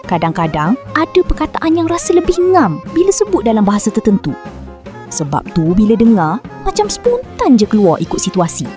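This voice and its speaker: A woman's voice: medium pitch, wildly swooping pitch, quick pace, mellow, soft background music. A woman reading a scripted voice-over with sing-song, swooping intonation. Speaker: narrator.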